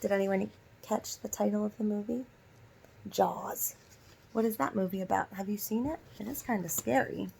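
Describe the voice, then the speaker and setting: A woman's voice: mid-range voice, steady tone, moderate pace, gravelly, a little mellow, a little hiss or hum, poor audio. A woman teacher reading a children's story aloud and prompting listeners with instructive questions.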